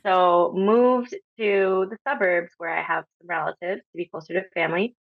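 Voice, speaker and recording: A woman in her thirties from Honduras, a podcast guest talking casually with many pauses and ums. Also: lowish voice, fairly even intonation, vocal fry, no echo. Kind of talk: casual interview talk. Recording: slightly dull lo-fi audio.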